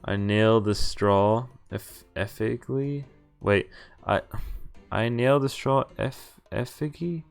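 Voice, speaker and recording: A man streaming a game, reading its text aloud with animated, jokey reactions and casual commentary, sometimes stumbling over words and correcting himself. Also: fairly low pitch, slow pace, gravelly, clear, resonant tone. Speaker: game streamer doing a visual novel playthrough. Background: game music.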